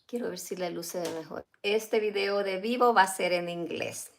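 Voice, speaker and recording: A woman and mother whose first language is Spanish, hosting a casual live stream at home, talking slowly with many fillers and small laughs. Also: medium pitch, gravelly, slight background noise. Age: adult, a mother. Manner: slow, halting, with frequent fillers and light laughs.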